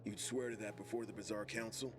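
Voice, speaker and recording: A man's voice: on the low side, steady tone, quick pace, natural voice. An actor voicing a stern male official with a formal manner, in video game dialogue. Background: music.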